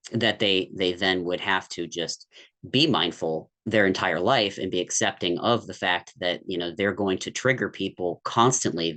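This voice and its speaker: Very deep-voiced man, reflective and rambling. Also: sharp tone. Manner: thoughtful, rambling, many restarts and fillers. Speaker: podcast guest. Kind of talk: candid conversational podcast talk.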